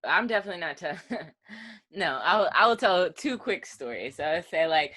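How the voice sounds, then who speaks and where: A woman's voice: lowish voice, lively intonation, normal speed, bright, forceful tone, breathy edge, no echo. A woman co-hosting a podcast, telling stories in a chatty way, laughing and saying "like" a lot. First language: not Portuguese; Portuguese is her third language. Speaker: podcast co-host. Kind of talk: casual podcast storytelling.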